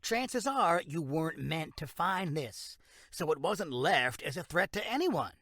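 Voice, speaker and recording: A man's voice: on the high side, sing-song, a little slow, a bit bright, no room sound, studio quality, heavily acted. A man acting as a frank, condescending small-town sheriff in an audio drama. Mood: firm, dismissive. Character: dismissive, bureaucratic small-town male sheriff.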